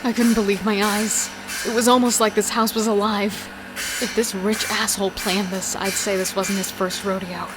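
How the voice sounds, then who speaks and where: A woman's voice: mid-range voice, little pitch movement, moderate pace, natural voice, poor audio. Actress as a tense female killer, over music. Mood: grim, angry. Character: Eve, steely foul-mouthed female killer and thief narrating her ordeal. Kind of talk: first-person horror audio drama narration and acting.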